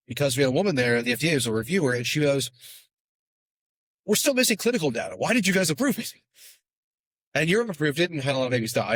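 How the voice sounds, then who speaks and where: A male podcast guest who talks very fast and keeps the explanations pouring out, tossing in quick asides as he goes. The recording is dry and close, with no room sound. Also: mid-range voice, a bit bright, no room sound. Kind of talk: casual podcast interview.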